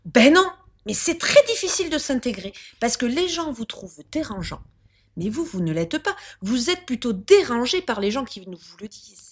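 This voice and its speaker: A woman's voice: fairly high pitch, very animated, moderate pace, husky. A woman playing a stubborn clown lady with a rolled R. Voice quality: rolled R, strongly marked accent. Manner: insistent, repeats herself, turns arguments around. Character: stubborn self-assured woman clown with odd accent. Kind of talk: comic clown sketch interview.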